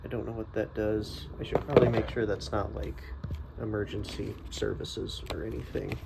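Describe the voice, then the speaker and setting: A man's voice: fairly low pitch, level tone, moderate pace, creaky, heavy background noise. A man giving a casual, unscripted product review, thinking aloud in a hesitant, tentative way.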